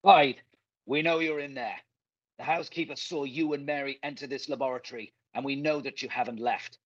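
A man's voice: mid-range voice, fairly even intonation, brisk, fairly bright tone, lightly acted. An actor in a radio play playing a stern, determined police officer with a commanding manner, his voice muffled. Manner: commanding, declarative. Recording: dull, muffled lo-fi voice.

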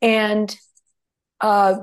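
A woman's voice: medium pitch, little pitch movement, very slow, clean tone. A middle-aged woman whose first language is Greek, telling stories in a rambling conversational way, full of restarts and fillers. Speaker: guest. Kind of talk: conversational storytelling.

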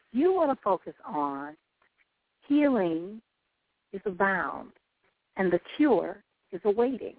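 Gravelly-voiced woman hosting call-in radio. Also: mid-range voice, no room sound. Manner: encouraging, uplifting, preachy. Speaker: host. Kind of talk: call-in talk radio, motivational. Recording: dry, tinny phone-like line.

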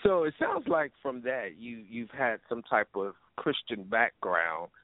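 A man's voice: medium pitch, sing-song, measured pace, no room sound, phone-quality sound. A man hosting an interview in an informal, conversational way, putting questions to a guest. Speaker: radio interviewer, host.

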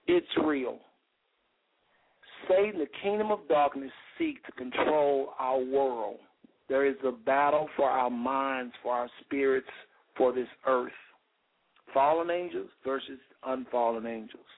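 A man with a slightly raspy voice hosting a radio show, preaching fervently with rhetorical repetition and reading scripture aloud. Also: mid-range voice, measured pace. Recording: phone-like radio call-in sound.

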